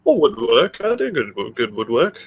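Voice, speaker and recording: A man's voice: medium pitch, huge pitch swings, normal speed, slightly rough, phone-quality sound. A man co-hosting a comedy podcast with casual banter, wry, blunt and happy to swear. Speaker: podcast co-host.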